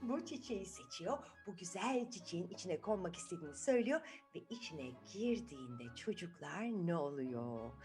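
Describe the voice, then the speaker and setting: Bir kadın, çocuklara sorular sorarak, sözleri tekrarlayarak dramatik bir dille doğaçlama masal anlatıyor. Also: female voice, medium pitch. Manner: dramatic, repeats words, asks listeners questions. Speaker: children's storyteller. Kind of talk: improvised, animated storytelling to children.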